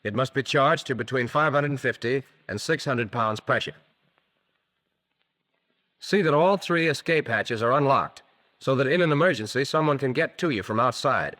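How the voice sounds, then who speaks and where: American guy doing 1940s training film narration, bright forceful voice, methodical, old film sound. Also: mid-range voice, normal speed. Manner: crisp, methodical, instructive.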